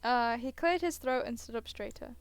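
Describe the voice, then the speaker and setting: A woman's voice: a little high, moderate pace, clean tone, no room sound, faint music behind. A woman gaming streamer reading a visual novel aloud, casually breaking off into hesitant asides and sounding tired. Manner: hesitant, self-interrupting asides. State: tired, yawning.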